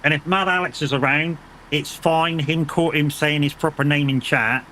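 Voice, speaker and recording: A man's voice: fairly high pitch, steady tone, normal speed, bright, forceful tone, slight background noise. A man with a British accent, chatting casually with viewers during a live gaming stream, reassuring and easygoing, swearing now and then and laughing often. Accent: British English.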